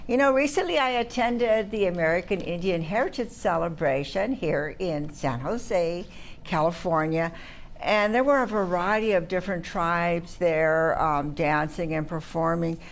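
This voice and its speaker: Lower-voiced female talk show host. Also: a little slow. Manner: friendly, with short listening sounds. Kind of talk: interview hosting.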